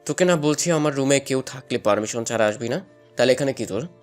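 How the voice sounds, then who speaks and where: A man's voice: medium pitch, lively intonation, quick pace, faint music behind, lightly acted. Man playing an angry, strict older cousin. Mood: angry, threatening. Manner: menacing, scolding through clenched teeth. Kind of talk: audio story dialogue.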